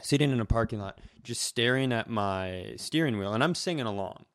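Low-voiced man telling casual comic stories. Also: a little fast, clear, resonant tone, no echo, studio quality. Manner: animated retelling with acted quotes, casual swearing. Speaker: comedy podcast co-host. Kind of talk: casual comedic storytelling chat.

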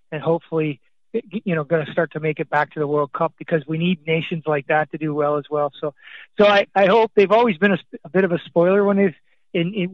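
A man's voice: on the high side, no echo. A man who grew up in Canada after being born in Scotland, a soccer league executive answering radio interview questions with many restarts and fillers. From born in Scotland, raised in Canada. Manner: halting, repeats words, many fillers. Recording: dull, dry remote line.

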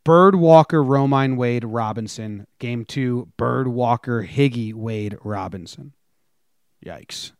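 A man's voice: mid-range voice, slow pace, clean tone, no echo, studio quality. A man co-hosting a sports podcast, talking casually and informally as a fan. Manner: informal, conversational. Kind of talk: casual chat, fan discussion.

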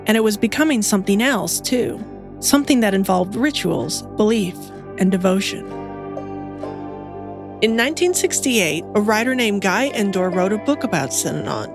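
A woman giving measured, scripted documentary narration as the podcast's narrator. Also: medium pitch, lively intonation, music in the background.

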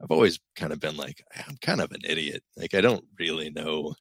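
Middle-aged male co-host, deep voice, rambling and self-deprecating. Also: lively intonation, fairly quick, gravelly, slightly rough, no room sound. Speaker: podcast co-host. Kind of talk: casual podcast chat.

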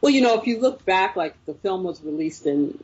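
A woman's voice: mid-range voice, expressive, brisk, a little mellow, slightly rough, muffled recording. A woman filmmaker answering interview questions, telling her story reflectively with frequent fillers. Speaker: filmmaker guest.

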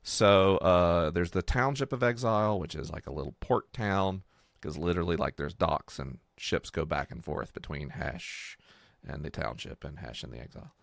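A man's voice: deep voice, animated, moderate pace, gravelly, dry recording, studio quality. A man explaining a fictional world in a casual, enthusiastic discussion as a host and creator.

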